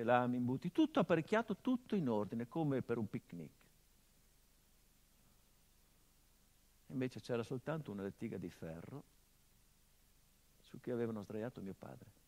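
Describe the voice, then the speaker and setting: Radio-drama monologue: a grieving son, Italian accent, middle pitch, brooding and low, with impassioned surges. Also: male voice, darkish tone. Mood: reflective. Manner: intense, builds to impassioned outbursts then drops low. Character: grieving grown son of a murdered man.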